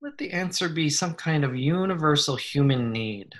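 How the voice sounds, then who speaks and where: A man leading a guided meditation in a gentle, unhurried manner, leaving long pauses between short phrases. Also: a little high, animated. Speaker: guided meditation leader, psychologist. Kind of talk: slow guided meditation, soothing instruction.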